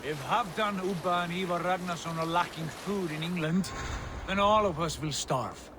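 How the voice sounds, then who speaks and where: An actor playing a proud, commanding Viking jarl in a video game, speaking in a stately, declamatory way. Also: male voice, high-pitched, normal speed, background noise, close to an everyday voice. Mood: calm, assured. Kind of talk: cinematic video game acting.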